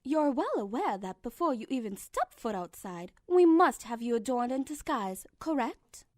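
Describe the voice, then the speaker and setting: A man's voice: very high-pitched, expressive, normal speed, darkish tone, voice pushed up. A man voicing a refined fashionista mare who speaks in a posh, fussy manner. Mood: prim.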